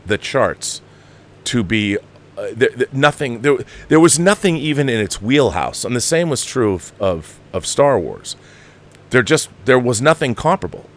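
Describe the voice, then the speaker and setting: An American man in his fifties giving impassioned, off-the-cuff film commentary as a show host, with an emphatic, halting, digressive delivery. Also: mid-range voice. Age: 50s.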